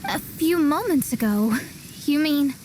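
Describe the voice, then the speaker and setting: A woman's voice: sits high, expressive, a little slow, a little mellow, a little hiss or hum. A woman playing a proud, hot-tempered young astrologer in a video game, theatrical and self-assured. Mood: questioning.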